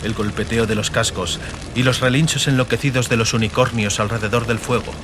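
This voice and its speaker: A man narrating a fiction audiobook dramatically, in solemn, measured short phrases. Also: medium pitch, fairly even intonation, background noise.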